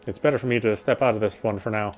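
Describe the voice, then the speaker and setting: A man's voice: lowish voice, fairly even intonation, rapid-fire, soft background music. Man reading game narration aloud. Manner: unpolished read with stumbles and corrections.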